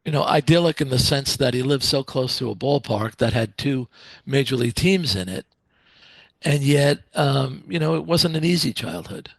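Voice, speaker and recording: A man's voice: mid-range voice, animated, normal speed, gravelly, a bit bright, dry recording. A man hosting a podcast interview, hesitant and repeating his words as he asks questions. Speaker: podcast host.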